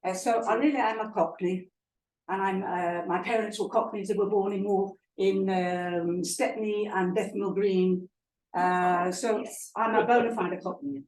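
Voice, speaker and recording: An older woman from London with a softened London accent, giving a chatty talk to an audience with many fillers and restarts. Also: medium pitch, steady tone, measured pace. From England. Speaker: guest speaker. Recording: echoey hall, heard from far off.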